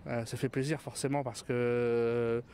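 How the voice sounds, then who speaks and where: A man gives casual interview answers as a filmmaker. He pauses often and speaks hesitantly at a middle pitch. A faint low rumble sits behind him. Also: little pitch movement, mellow.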